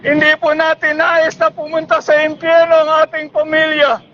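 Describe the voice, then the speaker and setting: A man with a Filipino accent delivers a street sermon in a very high, strained-up pitch that stays on one level. He pleads and exhorts at shouting volume. The recording is dull and lo-fi. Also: completely flat delivery, normal speed, slight background noise. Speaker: street preacher. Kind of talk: evangelistic public sermon. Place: busy public bus terminal.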